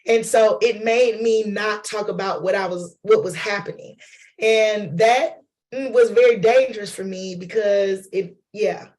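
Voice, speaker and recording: A man's voice: high-pitched, measured pace, a little mellow. A man with a cold, losing his voice, giving a loose, chatty workshop talk as a playwright. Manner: chatty, loose, addresses audience as y'all and honey. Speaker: lecturer and playwright.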